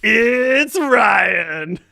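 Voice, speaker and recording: Man, podcast host, high bright voice, very lively intonation, excitable casual banter. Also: drawn-out words, vocal fry, no room sound, studio quality. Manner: animated, excitable, hyped-up.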